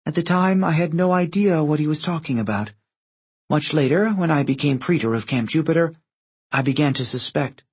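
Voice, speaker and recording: A man's voice: on the high side, expressive, normal speed, husky, voice pushed up. A man voicing a proud, stern young woman commander in an audiobook, speaking formally and with solemn intensity. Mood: grave, measured. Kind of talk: audiobook character dialogue.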